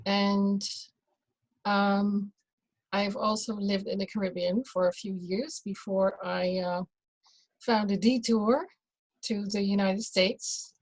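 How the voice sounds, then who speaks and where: A woman from the Netherlands, a doula, talking as an interview guest in a slow, hesitant way with many fillers and restarts. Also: medium pitch, fairly even intonation, no room sound, rough recording. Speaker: guest, birth and rebirth doula. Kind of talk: casual conversational interview.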